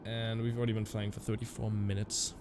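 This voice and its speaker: A man's voice: on the low side, level tone, a little fast, noisy background. Chatty flight sim streamer guy. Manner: chatty, rambling, many fillers and restarts. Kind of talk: casual live-stream chat with viewers.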